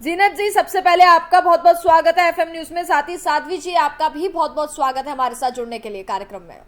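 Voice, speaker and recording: A woman's voice: very high voice, fast talker. A woman hosting a live TV news panel debate as anchor.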